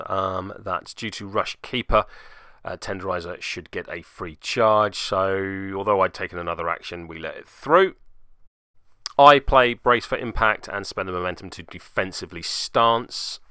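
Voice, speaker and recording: A man's voice: fairly low pitch, moderate pace, bright, forceful tone, clean tone, dry recording, studio quality. A man narrating a casual play-by-play recap of a tabletop game as its host, with wry, self-deprecating asides.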